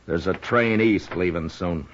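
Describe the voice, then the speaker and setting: A man with an American accent playing a laconic, steady Old West marshal in a radio western, speaking in a dry, understated way. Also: deep voice, measured pace, slight background noise. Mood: firm. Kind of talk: 1950s radio western acting. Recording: muffled vintage radio recording.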